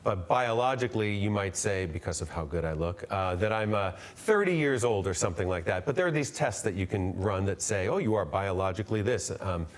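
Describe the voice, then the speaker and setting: A man's voice: lowish voice, fairly quick, hardly any pauses. A man in his fifties on a video call, an upbeat guest explaining ideas and repeating words as he goes. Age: 50s. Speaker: guest physician. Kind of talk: explaining to an interviewer.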